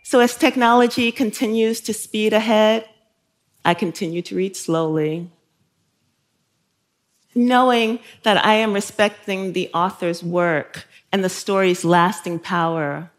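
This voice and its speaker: A woman author from South Carolina speaking in a measured, thoughtful way, with soft laughs between phrases. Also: mid-range voice. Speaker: guest author. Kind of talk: reflective interview and talk excerpts.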